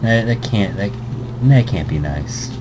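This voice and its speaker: Male let's play host, low voice, reads the story aloud and stumbles over words, loud air conditioning hum. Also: expressive, unhurried. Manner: stumbles and restarts words, chuckling asides. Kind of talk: reading a visual novel aloud with commentary.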